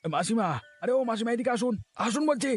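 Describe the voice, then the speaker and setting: A man voicing a stern, accusing village husband in a cartoon, confronting people loudly. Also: extremely high pitch, animated, quick pace, slightly rough, faint music behind, full character voice. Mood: outraged. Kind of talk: cartoon voice acting.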